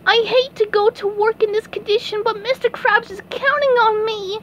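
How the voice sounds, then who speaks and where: A woman's voice: very high voice, fairly even intonation, moderate pace, a bit bright. A woman voicing an eager, anxious young male sea sponge in a hobby cartoon dub, with a whiny, childlike, exclaiming manner. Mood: distressed. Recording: muffled, clearly noisy home recording.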